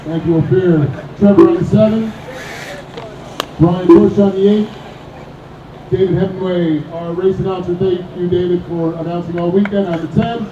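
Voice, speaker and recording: A man with a loud voice calling driver lineups and lap counts over the PA at an outdoor race track. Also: high-pitched, fairly even intonation, normal speed, highs cut off. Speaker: race announcer. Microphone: PA loudspeaker. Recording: echoey PA heard from afar, noisy.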